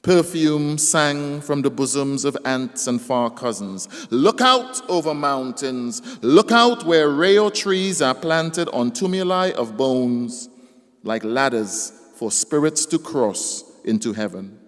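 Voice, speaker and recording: A man reading his poems aloud at a live event, measured and deliberate, lifting his voice for the verse. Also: medium pitch, lively intonation, a bit bright. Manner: slow, deliberate recitation that rises in force on the poems. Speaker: poet. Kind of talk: live poetry reading with casual stage banter. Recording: long reverb of a live hall.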